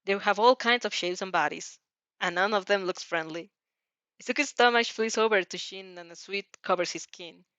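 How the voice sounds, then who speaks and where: A woman narrates fan fiction aloud in a dramatic, slightly halting way. Her pitch is average, and the recording is dry and a little muffled. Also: a bit bright, no echo.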